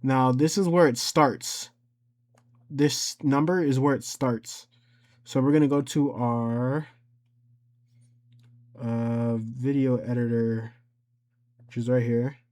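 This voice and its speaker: Man, mid-pitched voice, casual tutorial host, improvised step-by-step delivery, thinking aloud. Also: steady tone, slow pace, pauses often, a little mellow.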